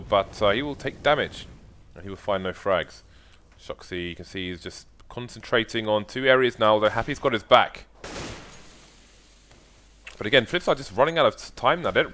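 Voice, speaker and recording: A man commentating on a competitive video game match, measured and analytical, with playful banter. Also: fairly low pitch, a bit bright, a little hiss or hum. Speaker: esports caster. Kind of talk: color commentary.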